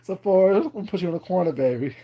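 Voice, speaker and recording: A man's voice: sits high, expressive, rapid-fire, highs cut off. Chatty man, fillers and teasing. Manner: rambling, many fillers and "you know what I'm saying"; laughs often, teasing viewers. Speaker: live stream host chatting with viewers. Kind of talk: casual chat.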